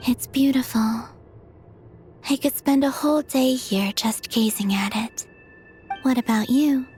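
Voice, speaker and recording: An actress voicing a gentle, ethereal young woman in a video game cutscene, speaking softly, calmly and wistfully. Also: on the high side, measured pace, faint music behind, close to an everyday voice. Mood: dreamy. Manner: soft, calm and wistful.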